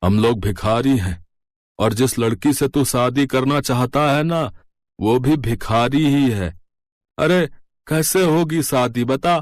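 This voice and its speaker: A man's voice: medium pitch, slow pace, no echo, studio quality, natural voice. A man voicing a skeptical, thrifty beggar father in a cartoon story.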